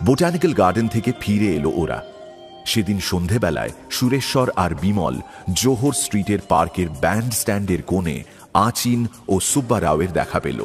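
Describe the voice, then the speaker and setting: Low-pitched male story narrator. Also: sing-song, brisk, faint music behind. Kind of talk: scripted audio story narration.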